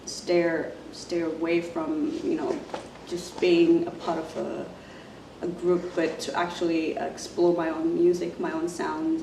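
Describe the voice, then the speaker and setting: Soft, halting woman, flat mellow voice. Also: low-pitched, creaky. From Zimbabwe. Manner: soft-spoken, halting, many fillers. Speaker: musician. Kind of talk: casual self-introduction to camera. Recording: roomy, distant mic, faint noise.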